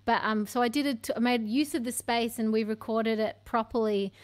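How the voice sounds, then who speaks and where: A woman's voice: on the high side, steady tone, brisk. An Australian woman in her thirties, a singer chatting casually about her music from a home room full of bookshelves, laughing often. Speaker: singer-songwriter guest. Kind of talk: casual interview chat. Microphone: studio mic on stand, headphones on.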